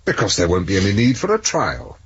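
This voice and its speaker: An actor playing a sardonic, exasperated male British police superintendent with a husky voice and a dry manner, in a 1970s radio drama. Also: fairly low pitch, wildly swooping pitch, brisk, full character voice. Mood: wry, explaining. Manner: dry, sarcastic. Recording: dull 1970s radio recording.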